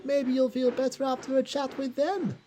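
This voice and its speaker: A woman voicing an earnest, excitable male steam engine in a children's story, speaking emphatically. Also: high-pitched, normal speed, faint music behind, pitched above the performer's own voice, lightly acted. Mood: helpful.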